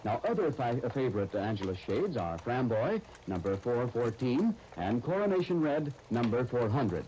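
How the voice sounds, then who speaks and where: An American man announcing and narrating from a script in polished, deliberate 1930s radio style. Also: medium pitch, big pitch swings, dark tone. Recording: noisy 1930s radio broadcast recording.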